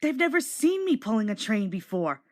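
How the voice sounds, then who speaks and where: A woman voicing a cheeky, self-important young male train engine in a storybook, fussy and excitable. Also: fairly high pitch, moderate pace. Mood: proud, excited.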